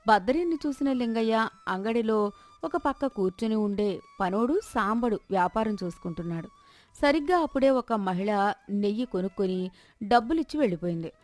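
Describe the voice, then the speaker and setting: A woman's voice: fairly high pitch, darkish tone. A woman reading a scripted moral story as its narrator.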